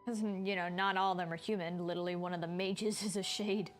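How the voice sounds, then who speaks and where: A woman's voice: lowish voice, fairly even intonation, fairly quick. A woman streamer giving casual, chatty, informal commentary as she plays a video game. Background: game music.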